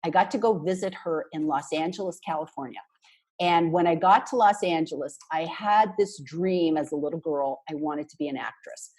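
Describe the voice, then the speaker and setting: Woman, from Canada, marketing consultant guest, husky low voice, energetic chat. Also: moderate pace. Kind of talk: casual interview talk.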